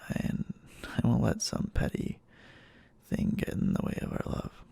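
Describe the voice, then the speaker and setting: A man playing a clingy, obsessively devoted boyfriend in an intimate ASMR roleplay, speaking haltingly in a breathy half-whisper. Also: warm tone, no echo, natural voice. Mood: reassuring, uneasy. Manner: halting, slow, hesitant.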